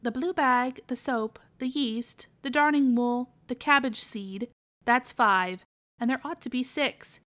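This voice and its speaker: A woman's voice: a little high, a little slow, a bit bright. A woman voicing a fussy, bossy pig aunt who grumbles and gives orders in a storybook. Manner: bossy, snappy, listing errands.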